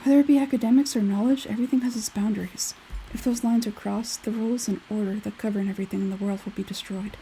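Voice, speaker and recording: A woman voicing an aloof young male scholar in a video game, speaking in a measured, detached, analytical way. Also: medium pitch, fairly even intonation, mellow, slight background noise, lightly acted, rough recording. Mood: blunt, aloof. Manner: measured, detached, matter-of-fact. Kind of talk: video game character dialogue read aloud.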